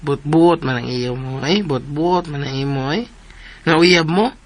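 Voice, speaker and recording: A man's voice: on the high side, measured pace, close to an everyday voice. A Filipino man in a radio drama playing a teasing, mock-scolding household companion. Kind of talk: Philippine radio drama acting.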